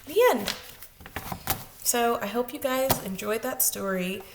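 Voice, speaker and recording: Woman preschool teacher for children's story time: higher-pitched, very slow, addressing little listeners directly. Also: background noise. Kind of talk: children's storybook narration and greeting.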